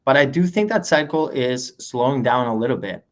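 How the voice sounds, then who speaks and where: A man's voice: medium pitch, animated, moderate pace. A man hosting an interview show over a remote call, reading questions and praising his guest in an upbeat way, with frequent "you know" fillers. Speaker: podcast host.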